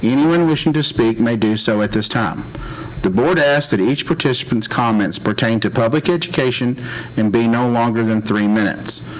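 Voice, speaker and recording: A man's voice: mid-range voice, normal speed, low-quality recording. A man presiding over a school board meeting, reading a formal statement aloud in a meeting room. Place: public meeting room, indoors.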